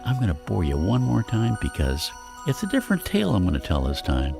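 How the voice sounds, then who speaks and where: An actor playing a worn-out, wry older husband and father in an audio comedy, speaking in halting, exclamatory bursts. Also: low-pitched, wildly swooping pitch, gravelly, music in the background, full character voice. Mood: wistful, wry. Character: exasperated, worn-out older husband and father, wryly self-deprecating. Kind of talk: stage-comedy audio drama acting.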